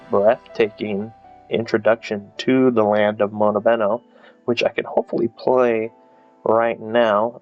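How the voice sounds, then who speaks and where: Male game reviewer, lowish voice, casual and hesitant, rambling monologue, slightly lo-fi sound, soft music behind. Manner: rambling, hesitant, filler-heavy. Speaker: video creator reviewing a game. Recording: slightly dull, lo-fi voice track. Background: faint music.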